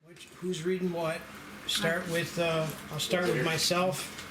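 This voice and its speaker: A man's voice: a little high, little pitch movement, slow pace. A man leading a table read, informally organizing the group and explaining things. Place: indoor room with a group. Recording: noisy, echoey room.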